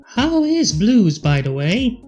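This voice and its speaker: A man's voice: high-pitched, sing-song, slow, a little mellow, highs cut off, soft background music, exaggerated voice. A man voicing a wry, needling robot scientist with a probing manner in a fan fiction reading.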